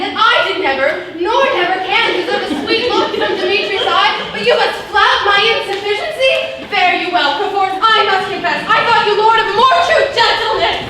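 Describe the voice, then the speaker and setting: A woman playing a lovelorn, wounded young maiden, plaintively declaiming Shakespearean stage verse. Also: very high voice. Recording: very echoey, distant mic, background noise.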